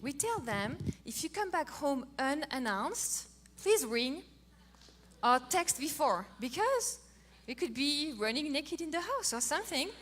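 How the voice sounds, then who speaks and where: A middle-aged woman from France with a French accent, giving a playful, animated talk on marriage as a co-host. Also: sits high, measured pace. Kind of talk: casual talk to an audience.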